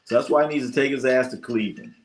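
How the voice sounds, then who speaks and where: A man's voice: medium pitch, fast talker. Male sports stream host, American, mid-range voice, blunt and slangy, conversational, hammers points by repetition. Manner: blunt, slangy, repeats phrases for emphasis. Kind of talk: casual live sports commentary, opinionated chat.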